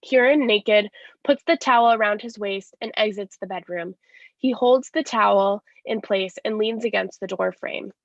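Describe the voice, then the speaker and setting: A woman narrates stage directions from a script. Her voice is creaky with vocal fry and of medium pitch. The recording is somewhat dull and lo-fi. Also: normal speed.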